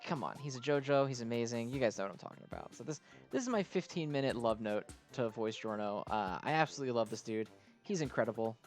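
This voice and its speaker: A man with a mid-pitched voice gives casual commentary as a gaming host over audible music. He talks in a gushing, exclamatory way and peppers his sentences with "like.". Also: expressive, fast.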